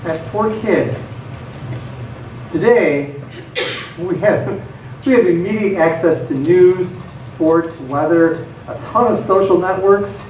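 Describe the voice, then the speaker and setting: A man's voice: high voice, slow, long pauses, a little mellow. A man acting a reflective, wistful monologue in live radio theatre. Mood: pensive, wistful. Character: reflective, wistful man musing on a dark world. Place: theatre stage. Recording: echoey stage, distant mic, faint noise.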